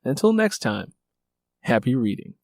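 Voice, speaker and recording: A man's voice: mid-range voice, sing-song, unhurried, creaky, darkish tone, dry recording, clean studio sound. A man hosting a book interview podcast, talking conversationally and reflectively, often repeating and rephrasing for emphasis.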